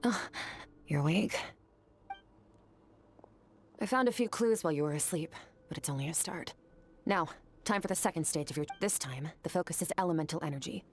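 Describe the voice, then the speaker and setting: A woman voicing a cool young warrior mentor in a video game, instructing in a measured, matter-of-fact way. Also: low voice, slight background noise, natural voice. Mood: composed, instructive.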